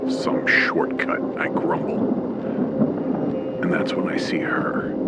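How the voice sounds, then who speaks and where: A man's voice: lowish voice, heavy background noise. A man narrating a scripted first-person horror story dramatically in old radio play style, acting out urgent, frightened moments. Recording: loud music behind the voice.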